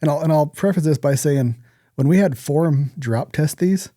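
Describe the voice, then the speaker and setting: A man's voice: a little high, normal speed, warm tone, no room sound, studio quality. A man chatting as a podcast co-host in a blunt, informal way. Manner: blunt, informal, prone to swearing. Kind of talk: casual podcast chat.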